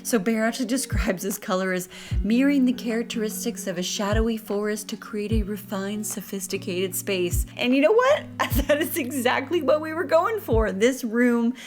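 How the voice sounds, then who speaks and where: A woman hosting a DIY vlog, talking in an upbeat, expressive, casual and chatty way. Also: fairly high pitch, moderate pace, soft background music. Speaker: host of a DIY home makeover vlog.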